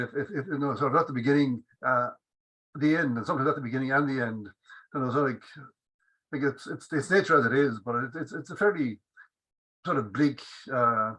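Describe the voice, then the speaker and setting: A man asking a question in an informal online discussion, speaking haltingly and repeating words as he thinks. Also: mid-range voice, bright, forceful tone, husky, rough recording. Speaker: audience member asking a question. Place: indoors on a computer in an online meeting. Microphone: computer on a video call.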